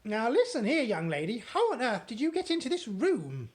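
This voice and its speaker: A woman's voice: medium pitch, very animated, normal speed, slightly rough, pitched above the performer's own voice. A woman voicing a fussy, loyal middle-aged maid who talks eagerly and deferentially while reading a storybook aloud. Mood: scolding.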